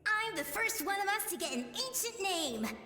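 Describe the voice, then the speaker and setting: A smug, competitive young girl in a game, high and very lively in pitch. She boasts in a slow, teasing way inside a long echo, with faint music behind. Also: exaggerated voice. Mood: boastful. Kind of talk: video game voice acting. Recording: echoey reverberant hall effect.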